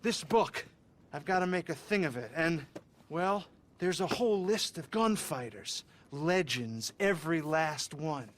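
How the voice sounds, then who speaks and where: An eager nervous writer. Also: male voice, on the high side, a little hiss or hum. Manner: excitable, earnest, talks fast in bursts. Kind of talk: video game cutscene acting.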